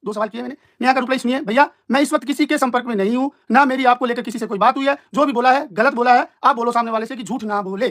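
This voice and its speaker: A man's voice: very high-pitched, rapid-fire, few pauses, fairly bright tone. A man in an informal live-stream argument, explaining himself in an emphatic, repetitive, combative way.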